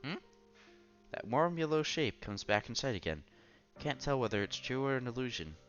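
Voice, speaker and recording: Man reading as earnest bashful student, expressive. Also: medium pitch, moderate pace, lo-fi sound. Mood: wistful. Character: earnest, bashful young male college student narrating his romance. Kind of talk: visual novel read-aloud. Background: music under the voice.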